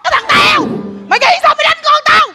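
A very high-voiced, combative mother from a comedy sketch. Her southern Vietnamese accent carries theatrical scolding and a sharp tongue. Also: lively intonation, brisk, faint music behind, exaggerated voice. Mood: furious. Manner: scolding, theatrical, chanting curses. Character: fierce, loud-mouthed mother quick to curse neighbours.

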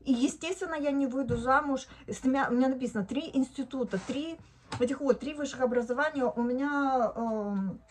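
A woman in her fifties, originally from Odesa, vents in a chatty home video diary. Her voice sits a little high. She is recorded indoors in a living room on a phone held close. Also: a little fast, a little mellow. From Odesa, Ukraine. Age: 50s. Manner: chatty and indignant, bursts into laughter. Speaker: vlogger. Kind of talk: casual video diary monologue. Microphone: phone camera at arm's length.

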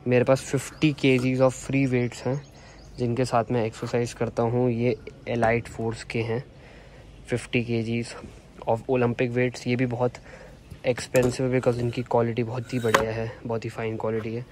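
A young man with an Indian accent walks viewers through his home gym setup in an easy, chatty way. His voice sits at a middle pitch and stays fairly even. A faint outdoor ambience comes from the rooftop. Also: normal speed, a little hiss or hum. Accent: Indian English. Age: young adult. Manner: chatty, mixing Hindi and English. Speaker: fitness YouTuber. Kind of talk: casual home gym tour. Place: sunny rooftop terrace.

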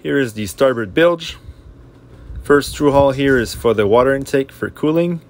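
A man's voice: medium pitch, moderate pace. A man narrating a walkthrough tour of his own boat, listing the equipment in a plain, matter-of-fact way. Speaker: boat owner giving a tour.

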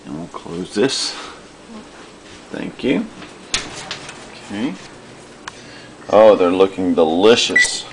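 A man filming in a home kitchen, gently coaching and asking playful questions from behind the camera. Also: low voice, lively intonation, slow pace, long pauses, background noise. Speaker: parent filming a child's cooking show. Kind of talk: casual home video chat. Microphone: handheld camcorder mic, off screen behind camera.

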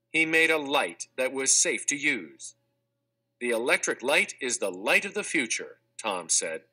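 Guy narrating a kids textbook, super bright crisp voice, lots of up and down, measured and expressive. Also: medium pitch. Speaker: audiobook narrator. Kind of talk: scripted read-aloud of a children's textbook.

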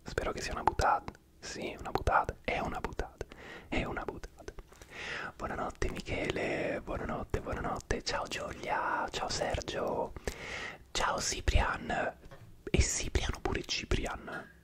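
A man whispering throughout as he hosts an ASMR live stream, chatting and rambling to viewers by name. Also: slow.

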